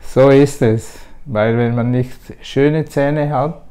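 An older man in his sixties talks as a patient in a casual testimonial. His voice is mellow and medium in pitch, picked up by a lapel mic in a small treatment room. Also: steady tone, takes it slowly, smooth. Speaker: dental patient. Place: small dental clinic room.

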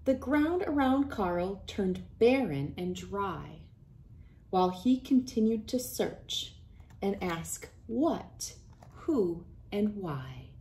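Female storybook narrator, thirties: expressive, slow-paced, very varied intonation. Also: medium pitch. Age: 30s. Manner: expressive, deliberate storytelling delivery. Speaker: children's book reader. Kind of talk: read-aloud storybook narration. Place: small wood-panelled room indoors.

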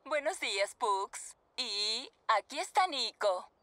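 A woman playing a kindly mother panda in a children's cartoon, gentle and reassuring. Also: a little high, big pitch swings, slow, full character voice. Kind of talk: animated children's cartoon acting.

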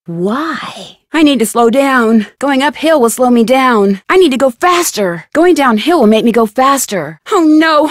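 A young woman voicing a plucky, curious young amateur detective in an adventure game, musing to herself with clear enunciation. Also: a little high, no room sound, clean studio sound. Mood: puzzled, thoughtful, then anxious. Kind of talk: scripted adventure game voice lines.

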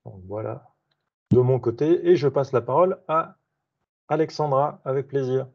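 A man's voice: medium pitch, normal speed. A man introducing himself on a video call, hesitant with many fillers and restarts. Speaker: association member introducing himself. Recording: dull video call audio.

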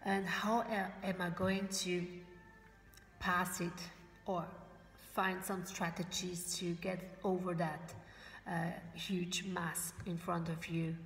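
A woman's voice: lowish voice, little pitch movement, slow pace, a bit bright, faint music behind. A woman in her forties whose first languages are Italian and German, speaking English to camera and often searching for words, with many fillers and restarts. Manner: gestures with her hands while talking. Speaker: motivational vlogger for network marketers. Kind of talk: casual motivational talk to camera. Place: bright living room indoors. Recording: roomy echo with faint room noise.

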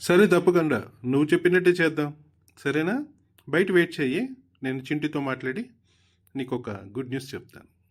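A man voicing a composed, paternal company boss in a comedy cartoon, speaking in a measured and firm way. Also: on the high side, animated. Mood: brisk, composed. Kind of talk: Telugu comedy cartoon dialogue.

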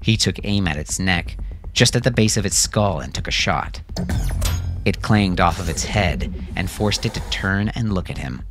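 A man's voice: low voice, animated, moderate pace, fairly bright tone, soft background music. A man narrating a scripted fiction story as a dramatic audiobook reader.

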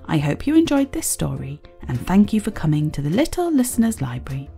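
A woman reading a children's story aloud in an expressive, child-directed way, as the host of a storytime. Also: lowish voice, a little fast, creaky, faint music behind. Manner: expressive, child-directed, asks the listener questions.

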